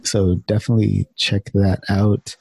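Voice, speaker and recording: A man hosts a casual group session in a low voice with plenty of fry. His delivery is loose and informal, and the sound is dry and close. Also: level tone, moderate pace, darkish tone, no room sound. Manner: informal, loose, mild swearing. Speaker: host of open office hours.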